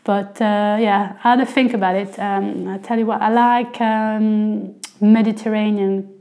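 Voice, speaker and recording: A woman with a French accent talks casually in English, from northern France. She chats freely, with lots of fillers and restarts, at a middle pitch. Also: steady tone, a little slow, dark tone. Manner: chatty, many fillers and restarts. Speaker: guest, language coordinator. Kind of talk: casual podcast conversation.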